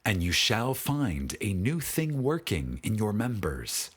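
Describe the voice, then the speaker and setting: A man with a husky voice reads a spiritual audiobook in a solemn, deliberate manner with dramatic pauses. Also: on the low side, big pitch swings. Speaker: audiobook narrator. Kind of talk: scripted spiritual audiobook narration.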